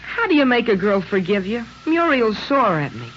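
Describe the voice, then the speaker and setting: A man's voice: sits high, big pitch swings, moderate pace, fairly bright tone. A male actor playing an earnest, eager sixteen-year-old American boy in a 1940s radio drama style. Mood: hesitant. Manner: earnest, boyish. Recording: muffled, hissy vintage radio broadcast.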